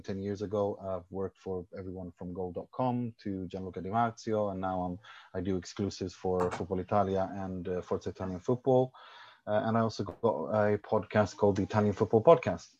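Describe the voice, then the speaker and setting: A man's voice: fairly low pitch, darkish tone, highs cut off. A man from Sweden, a football journalist guesting on a podcast, explaining his views at length with firm confidence. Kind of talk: casual podcast discussion.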